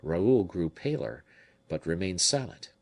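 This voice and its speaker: A man with a fairly low voice reads a classic novel aloud, telling it with an expressive delivery whose pitch rises and falls freely. It is a scripted audiobook narration. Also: a little slow.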